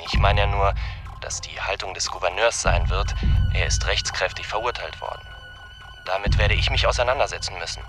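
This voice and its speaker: An actor in a German radio drama playing an anxious, apologetic male defence lawyer who hedges and placates haltingly. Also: lowish voice, sing-song. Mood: placating, uneasy. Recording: music behind the voice.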